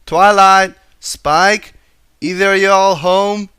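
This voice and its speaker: A man's voice: high-pitched, steady tone, extremely slow, bright, forceful tone, clear, resonant tone, no echo, clean studio sound, voice pushed up, full character voice. A man voicing a hot-tempered young female farm pony with a brusque, folksy in a storybook reading. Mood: frustrated.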